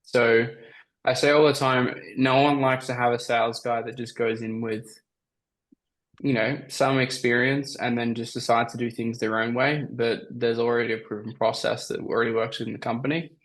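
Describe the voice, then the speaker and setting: A man with a slightly raspy voice, coaching on a call and giving casual, emphatic advice as a sales coach. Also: mid-range voice, fairly even intonation, normal speed, low-quality recording. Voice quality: raspy from talking all day. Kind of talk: coaching call, answering questions.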